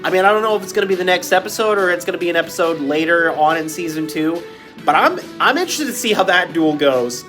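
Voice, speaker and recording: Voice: mid-range voice, lively intonation, fast, fairly bright tone, soft background music. Stuffy-nosed reactor, chatty. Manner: chatty, sympathetic asides. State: stuffy nose from allergies. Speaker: video reactor. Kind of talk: casual reaction commentary.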